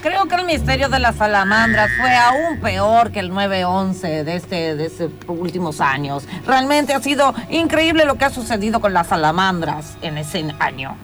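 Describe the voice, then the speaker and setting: A woman's voice: medium pitch, big pitch swings, normal speed, hardly any pauses, natural voice. A woman playing an earnest country farmer who solemnly reminisces in improvised comedic radio theatre. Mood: solemn wonder. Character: earnest country farmer recalling childhood mysteries. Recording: clear music behind the voice.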